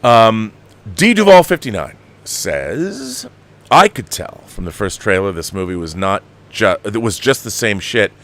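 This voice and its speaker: A man's voice: on the low side, very animated, fairly bright tone. Emphatic man ranting casually about films. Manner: exasperated, emphatic, halting, repeats himself for emphasis. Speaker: host of a film commentary livestream. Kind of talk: casual opinionated rant, answering viewer comments.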